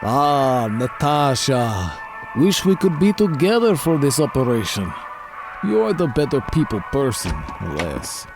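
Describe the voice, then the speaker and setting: An actor playing a calm male super-soldier leader in an audio drama, speaking in a measured, placating way. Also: mid-range voice, over music. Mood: wistful.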